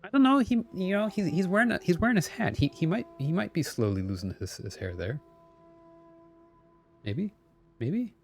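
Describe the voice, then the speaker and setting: A man's voice: high voice, very animated, normal speed, pauses often. A man live-streaming a game with casual, rambling commentary, often correcting himself as he goes. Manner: rambling, self-correcting, chatty. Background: game music.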